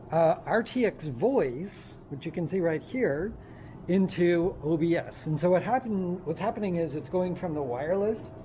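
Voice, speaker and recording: A man giving an informal, halting walk-around tech demonstration, thinking aloud as he goes. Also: sits high, mellow. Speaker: presenter of a tech demo. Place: makerspace woodworking and tool shop. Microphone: wireless lavalier, sometimes built-in computer mic. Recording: wireless lav in noisy workshop.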